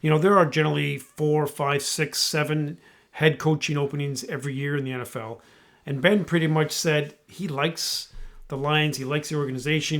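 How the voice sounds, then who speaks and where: A man co-hosting a podcast, teasing his partner and giving opinionated sports takes. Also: on the high side. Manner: teasing, opinionated, asks leading questions. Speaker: podcast co-host. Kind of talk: casual sports chat.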